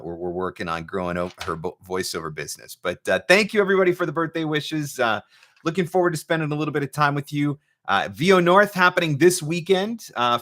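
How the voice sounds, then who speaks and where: Male livestream host. Crisp, bright, forceful delivery in a medium register, loose conversational banter. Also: medium pitch. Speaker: livestream host and voiceover marketing coach. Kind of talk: casual live chat, off the cuff.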